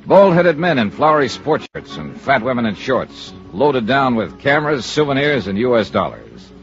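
An actor playing a cool, wry American insurance investigator in a 1950s radio detective serial, with a dry, clipped, sardonic delivery. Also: male voice, medium pitch, big pitch swings, moderate pace. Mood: brisk narration. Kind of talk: 1950s radio detective acting, first-person narration. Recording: muffled 1950s radio recording with music.

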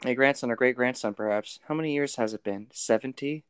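A man's voice: medium pitch, a little fast, clear, resonant tone, no room sound. Male co-host, mid-range voice, loose slangy banter, dry recording. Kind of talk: casual joking chat.

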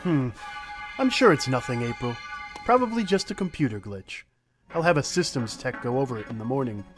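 A man playing a smug, pompous male inventor in an animated comic drama, with a grandiose, showy delivery. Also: medium pitch, brisk, soft background music, lightly acted. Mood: smooth, dismissive. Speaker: actor in a motion comic. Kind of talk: theatrical animated drama acting.

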